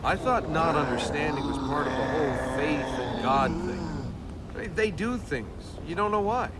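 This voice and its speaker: Wisecracking young rogue adventurer, noisy background. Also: male voice, fairly high pitch, lively intonation, unhurried. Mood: wry. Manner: wry, flippant, casual. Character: wisecracking young adventurer, roguish, sarcastic, reluctant hero. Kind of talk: video game voice acting. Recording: noisy game capture, loud effects behind.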